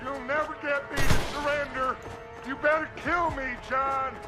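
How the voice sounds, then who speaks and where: An actor playing an aging American outlaw gang leader in a video game, theatrical and deliberate, given to fatalistic preaching. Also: male voice, extremely high pitch, level tone, loud music behind the voice. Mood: defiant, taunting. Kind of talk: dramatic video game acting.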